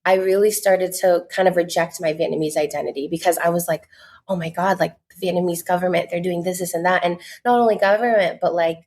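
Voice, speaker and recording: A woman's voice: fairly low pitch, fairly even intonation, fast, darkish tone. A woman, talking casually as a podcast guest, earnest and full of "like" fillers. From United States. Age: 20s. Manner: chatty, many "like" fillers, earnest. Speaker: podcast guest, content creator. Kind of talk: casual interview talk.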